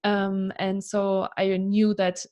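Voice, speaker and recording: A German woman in her thirties talks through her career as an interview guest. Her English flows in a relaxed, chatty way, padded with "kind of" and "you know". Her voice sits at an ordinary middle pitch. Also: slow pace. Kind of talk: casual interview conversation.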